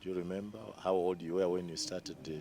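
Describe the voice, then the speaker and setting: A Ugandan male host with a very low voice asks questions in a conversational way. He often echoes the guest's words back and stumbles over repeated words as he goes. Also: flat delivery, fast, dark tone, a little hiss or hum. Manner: probing, restates answers, repeats words. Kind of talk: conversational interview.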